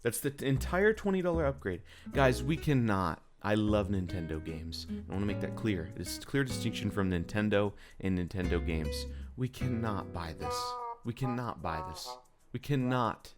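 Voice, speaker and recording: A man's voice: lowish voice, lively intonation, normal speed, music in the background. A man giving a casual, unscripted gaming news commentary in an exasperated, incredulous and slangy way, leaning hard on his points. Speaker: gaming video creator.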